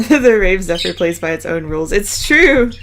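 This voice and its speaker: A woman with a Southern US accent chatting casually with her stream chat while drawing, relaxed and laughing often. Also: lowish voice, normal speed, slight background noise. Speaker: artist live streaming, reading chat.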